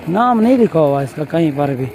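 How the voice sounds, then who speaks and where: A man's voice: fairly high pitch, very animated, brisk. A man with a Pakistani Punjabi accent giving casual, exclaiming commentary as he films a walking tour, with repeated catchphrases. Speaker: vlogger. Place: outdoors on a city roadside while walking. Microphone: handheld phone camera. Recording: very noisy outdoor roadside. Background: traffic and street noise.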